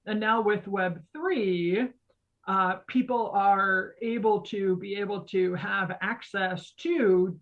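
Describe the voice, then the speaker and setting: A woman co-hosting a podcast, explaining conversationally in an earnest, emphatic way, on slightly muffled audio. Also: medium pitch. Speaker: podcast co-host. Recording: dull, band-limited audio.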